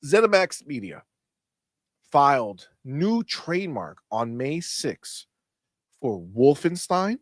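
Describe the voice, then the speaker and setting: A man co-hosting a casual gaming live stream, stuffy-nosed, talking in an animated way, swearing freely and laughing often. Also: mid-range voice, dry recording, clean studio sound. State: stuffy nose. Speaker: gaming podcast co-host.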